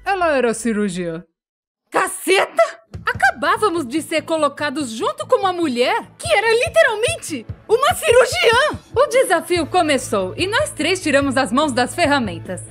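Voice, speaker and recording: A woman with a Brazilian accent narrating a scripted story in an expressive, conversational way. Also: very high voice, moderate pace, faint music behind. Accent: Brazilian Portuguese. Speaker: storytelling narrator in a dubbed animation.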